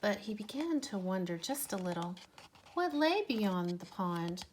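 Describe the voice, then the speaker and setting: A woman reading a children's storybook aloud expressively, with measured, deliberate phrasing. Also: medium pitch, very animated, slight background noise, rough recording.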